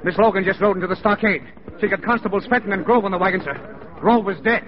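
An actor playing an agitated police orderly reporting trouble in a 1940s radio drama. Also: male voice, high-pitched, fast, close to an everyday voice. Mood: alarmed. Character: agitated, high-voiced police orderly bringing bad news. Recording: dull 1940s radio recording.

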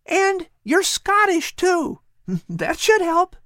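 A woman's voice: extremely high pitch, big pitch swings, slow, a bit bright, dry recording, studio quality, voice pushed up, full character voice. A woman voicing a cheerful, playful seven-year-old boy in a storybook. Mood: musing. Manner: eager and playful. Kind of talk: storybook reading.

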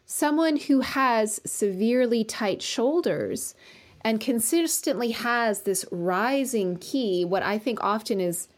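A woman's voice: a little high, animated, takes it slowly. A woman acupuncturist who practices in Colorado, a guest speaking earnestly in long run-on stretches in a casual professional conversation.

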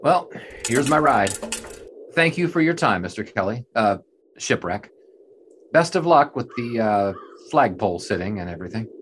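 An actor playing a wry, bemused 1920s newspaper sports writer, narrating in measured, formal and wordy prose for an audio drama. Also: male voice, mid-range voice, very animated, a bit bright, slight background noise. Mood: polite, awkward. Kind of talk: scripted first-person narration in audio drama.

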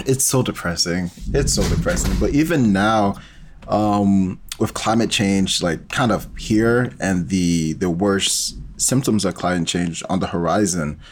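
A man's voice: low voice, measured pace. A man in a casual, reflective conversation as a podcast guest, hesitant and searching for his words. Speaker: podcast guest, fiction podcast creator.

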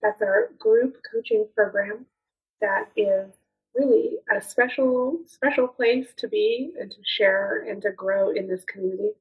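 A woman's voice: medium pitch, measured pace, slightly rough. A woman hosting a podcast, giving the show's introduction and announcements in an upbeat, promotional way. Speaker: podcast host. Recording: tinny phone-like line.

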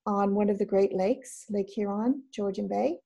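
A middle-aged woman with a Canadian accent, a guest telling her life story in a casual interview, relaxed and chatty with many ums and restarts. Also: mid-range voice, moderate pace, gravelly, muffled recording. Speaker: guest entrepreneur.

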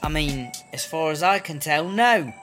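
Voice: medium pitch, expressive, a little slow, a bit bright. A performer playing a weary, wry British woman inmate in a comedy audio drama, speaking colloquially and a little hesitantly. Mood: uneasy, exasperated. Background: music.

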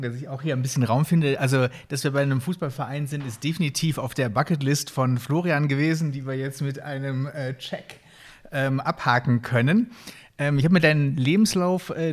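A man's voice: medium pitch, brisk. A man co-hosting a podcast, asking informal questions with hesitant fillers. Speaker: podcast co-host.

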